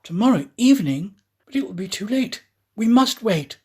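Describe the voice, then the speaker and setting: An anxious lady traveller as a male narrator puts her on pleads insistently in storybook dialogue. The voice is raised high and moves with lively, urgent swings. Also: normal speed, slightly rough.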